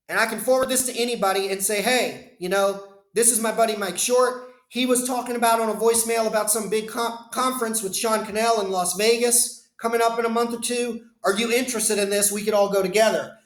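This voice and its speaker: A man's voice: high-pitched, steady tone, a little fast, reverberant. A man walking viewers through a screen-share demo of voicemail to email, explaining in a conversational way and speaking to them directly. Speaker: business phone system consultant. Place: indoor room, webcam inset.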